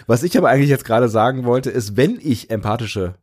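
A man's voice: mid-range voice, lively intonation, fast talker, a little mellow. A man co-hosting a podcast in casual chat, often losing his thread and laughing. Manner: rambling, loses track mid-sentence, laughs often. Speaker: podcast co-host.

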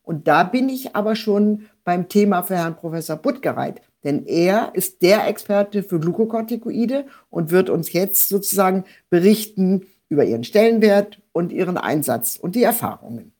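A woman giving an expert medical explanation in a measured, structured way with technical terms. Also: lowish voice, animated, dark tone. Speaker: rheumatologist, professor, expert speaker.

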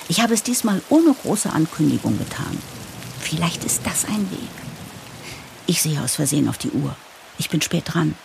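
A woman's voice: deep voice, vocal fry, a little mellow, a little hiss or hum, heavily acted. A woman playing a harried, idealistic new managing director who narrates an audio drama in a wry, confiding way. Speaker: narrator and lead character.

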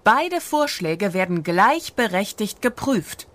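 A woman's voice: medium pitch, very animated, takes it slowly, a bit bright, dry recording. A woman playing Santa's harried, pragmatic and grumbling wife in a fairy-tale radio play. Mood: businesslike.

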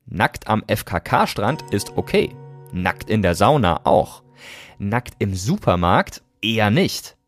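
A man's voice: on the low side, very animated, slow, soft background music. A man hosting a podcast, moving between scripted narration and interview questions. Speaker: podcast host.

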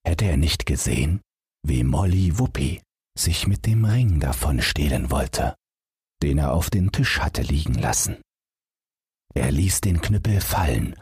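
Bedtime fairy tale narrator, man: unhurried, very husky voice with lively intonation. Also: rumbling low, no echo, clean studio sound. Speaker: audiobook narrator.

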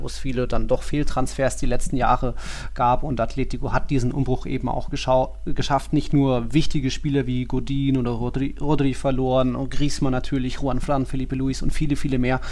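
A man co-hosting a football podcast, with a gravelly, fry-laden edge to a middle-pitched voice. He speaks dry and matter-of-fact, laying out his analysis at length. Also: a little mellow. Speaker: podcast co-host. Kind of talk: casual football podcast chat.

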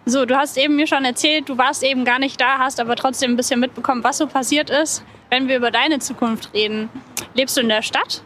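Woman, high voice, interviewer, friendly, chatty questions. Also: fairly even intonation, brisk, fairly bright tone, slight background noise. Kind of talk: event interview.

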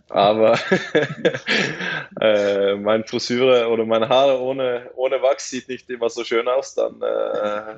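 A Norwegian-born man speaking German as a guest in a casual interview. He has a Norwegian accent, searches for words and pauses on many fillers. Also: medium pitch, gravelly, lo-fi sound. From Norway. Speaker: guest, handball player.

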